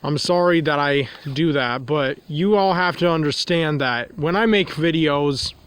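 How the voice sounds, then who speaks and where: A man's voice: on the high side, unhurried, fairly bright tone, clear, resonant tone. A man with a nasal, congested voice, talking outdoors in the cold in a rambling vlog monologue that keeps circling back to restate itself. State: congested from a runny nose. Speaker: vlogger.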